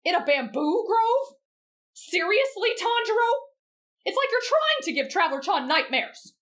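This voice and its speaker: A woman's voice: extremely high pitch, sing-song, a little slow, a bit bright, heavily acted. A woman voicing a fussy, protective teenage boy with a whiny, scolding manner in an anime roleplay. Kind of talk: anime fan roleplay audio.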